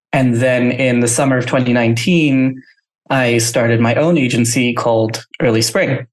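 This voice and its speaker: A man from Singapore, a guest on a podcast, telling his story casually and hedging with "you know" and "sort of". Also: mid-range voice. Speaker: guest, agency founder.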